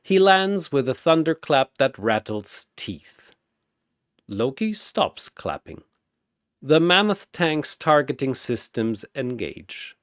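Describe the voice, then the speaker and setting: A man's voice: mid-range voice, big pitch swings, takes it slowly, a bit bright, dry recording, studio quality. A man giving a dramatic, theatrical narration of a scripted story.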